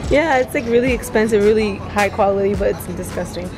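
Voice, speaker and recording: A woman's voice: medium pitch, quick pace. A young woman in her twenties, chatting casually as a travel vlogger while she walks around with a handheld camera. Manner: chatty, upbeat, filler-heavy with frequent "like". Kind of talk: casual travel vlog narration. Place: outdoors on busy city streets and in markets and shops. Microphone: handheld camera at arm's length. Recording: music and crowd noise behind.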